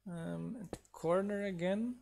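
A man's voice: high-pitched, a little slow, gravelly, darkish tone, slight background noise. A man whose first language is not English, a mod developer chatting casually while building in a live game stream, counting blocks aloud and laughing at his own mishaps.

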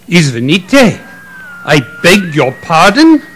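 An actor playing a stern, imperious tsar bent on conquest, curt and questioning, in an audio drama. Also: male voice, fairly high pitch, sing-song, slow pace, fairly bright tone, a little hiss or hum, full character voice. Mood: affronted. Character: stern male tsar, a militant would-be world conqueror.

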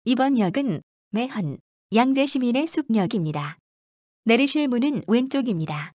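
A woman reading scripted subway announcements as a train announcer, formally and with measured enunciation. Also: fairly high pitch, animated, darkish tone, dry recording, dull audio.

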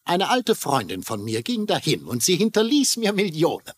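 A brash old uncle from a TV crime drama. His voice is rough and husky, set a little high and very animated. He sounds cheeky and pleased with himself. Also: moderate pace, dry recording, heavily acted. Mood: jaunty, boastful. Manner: brash, jaunty. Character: cheeky, boastful old uncle, carefree newlywed. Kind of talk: dubbed TV crime drama acting.

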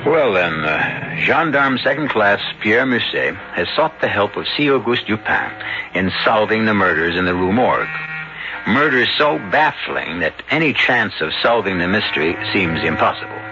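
A man hosting and narrating a radio mystery in a measured, formal storytelling manner. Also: on the low side, very animated. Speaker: host and narrator. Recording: dull old radio recording. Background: music.

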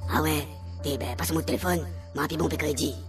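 A man's voice: a little high, fast, far from the mic, natural voice. A man with a Réunion Creole accent playing a chatty, obliging spirit in a comic radio serial, with a rattling, colloquial delivery. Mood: chatty, fretful. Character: chatty obliging spirit acting as go-between for a medium. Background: music under the voice.

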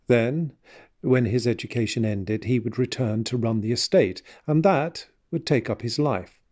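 Actor as a slow, solemn male narrator. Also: medium pitch, darkish tone, lightly acted. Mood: solemn, brooding. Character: solemn male narrator reading a novel chapter. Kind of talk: literary story narration in an audio drama.

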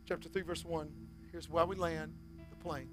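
A man in his forties, a pastor preaching a sermon on a church stage, swinging from loud exhortation to soft, near-whispered appeals. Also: on the high side, level tone, normal speed, soft background music.

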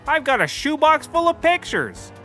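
Eager, gullible podcast detective, male actor: wide-eyed, wildly animated delivery, sharp tone, light music behind. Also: extremely high pitch, moderate pace, heavily acted. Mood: gushing. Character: naive, eager, excitable detective in a comedy. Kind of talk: scripted comedy podcast acting.

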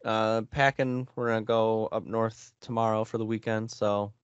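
A man's voice: lowish voice, flat delivery, normal speed, clean tone, no echo. An American man in his thirties, a podcast co-host, chatting casually with short, laid-back replies. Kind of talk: casual chat. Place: home room, indoors. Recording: dull, dry home recording.

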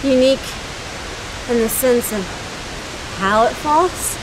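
Higher-voiced woman vlogging outdoors. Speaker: travel vlogger. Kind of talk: casual chat while hiking. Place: outdoors on a forest trail. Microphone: handheld camera. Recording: loud rushing-water hiss outdoors.

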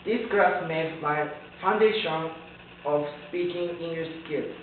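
A young man from Japan, a native Japanese speaker, giving a prepared student speech in careful, halting English with a Japanese accent. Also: a little high. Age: young adult. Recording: tinny, echoey distant recording with faint noise.

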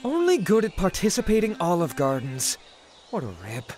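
A man's voice: high-pitched, wildly swooping pitch, moderate pace, a little mellow, faint music behind. Man acting a swooping, sassy wizard. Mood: sarcastic. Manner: sassy, dry. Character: flippant, sarcastic young male wizard. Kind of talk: comic dub acting.

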